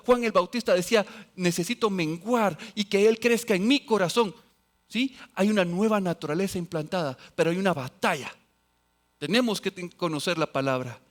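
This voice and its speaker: A man with a fairly high voice preaches a sermon, swinging between loud exclamations and quiet, low asides. He quotes scripture and acts out the voices of other people as he goes. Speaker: pastor.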